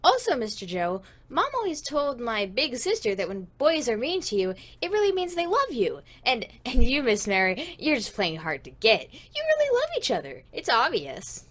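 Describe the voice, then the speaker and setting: A woman's voice: on the high side, wildly swooping pitch, moderate pace, few pauses, fairly bright tone, heavily acted. A woman voicing an earnest, polite and naive little boy who works as a sound artist in an audio comedy drama.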